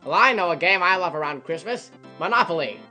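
A man's voice: sits high, expressive, fast talker, sharp tone, soft background music, exaggerated voice. A man playing an earnest, folksy golden-age radio announcer in a comedy parody, with a theatrical delivery. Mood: wide-eyed, folksy. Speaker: radio co-host and narrator.

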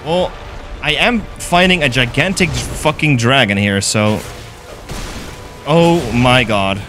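A man's voice: medium pitch, slow, faint music behind. A young man from the Netherlands with a Dutch accent, streaming a game live, reading chat and swearing freely as he plays. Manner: excitable, swears freely, reads chat aloud. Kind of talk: live gameplay commentary, chatting with viewers. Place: gaming room indoors.

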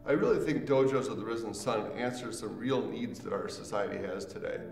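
A middle-aged man giving an unscripted testimonial in a measured, matter-of-fact way. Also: on the low side, vocal fry. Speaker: parent and student giving a testimonial. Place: small indoor room with a couch. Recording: roomy echo, distant mic, faint music behind.

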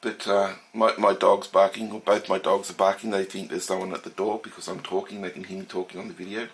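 Casting: middle-aged Australian man, nasal from a head cold, lowish voice, casual unhurried narration. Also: little pitch movement. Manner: slow, thoughtful, with frequent fillers and restarts. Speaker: steam engine collector. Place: home workshop room.

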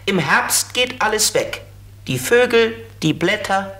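A friendly little flying creature in a children's radio play. The speech is slow and simple. The manner is helpful and good-natured throughout. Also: male voice, high-pitched, expressive, sharp tone, full character voice. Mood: explaining.

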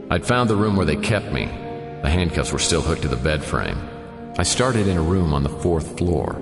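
A man's voice: low voice, roomy sound, long reverb tail. An actor playing a wry, hard-boiled private detective, narrating his case dryly in a noir radio drama. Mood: brooding, narrating. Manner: dry, wisecracking.

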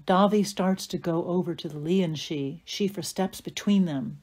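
A woman playing the narrator of an audio play, calmly reading scripted stage directions. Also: lowish voice, unhurried, breathy edge. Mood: measured.

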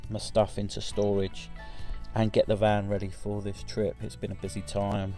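A man's voice: lowish voice, completely flat delivery, moderate pace, warm tone, faint music behind. A man in his forties giving a casual, rambling voice-over for his driving footage, with many ums and restarts. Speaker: vlogger.

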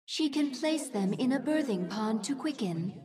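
High-voiced, formal alien adviser. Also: female voice, animated, warm tone, husky, exaggerated voice. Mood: informative. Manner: measured, formal, informative. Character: composed, formal female alien adviser briefing her queen. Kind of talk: video game mission briefing.

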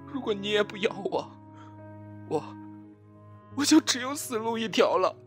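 A man's voice: sits high, expressive, moderate pace, a bit bright, soft background music. A man playing a young rejected ex-lover in a radio drama, imploring, emotional and insistent. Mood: desperate, pleading. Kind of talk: web radio drama acting.

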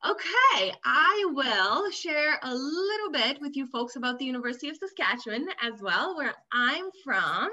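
A woman's voice: sits high, sharp tone, lo-fi sound. A young woman from Romania giving a webinar presentation as a student recruitment officer, friendly and repeating words as she searches for them. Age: young adult. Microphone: video call.

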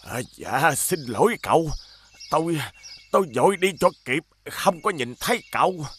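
Ông lão làm vườn, giọng cao, lên xuống rõ. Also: male voice, a little high, expressive, normal speed, fairly bright tone, slight background noise. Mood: anxious, flustered. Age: older. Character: elderly village gardener, anxious servant of a tyrant. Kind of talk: animated fairy-tale acting.

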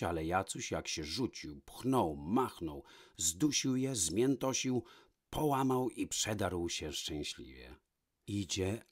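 A man tells a fairy tale in a fairly low, raspy voice. His intonation swings widely as he narrates. The delivery is dramatic, shifting between hushed and emphatic phrasing. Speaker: storyteller. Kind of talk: expressive fairy-tale narration, read from a book.

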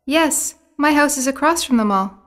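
A woman's voice: sits high, animated, normal speed, fairly bright tone. A woman playing a helpful, friendly party host giving directions in a textbook phone dialogue.

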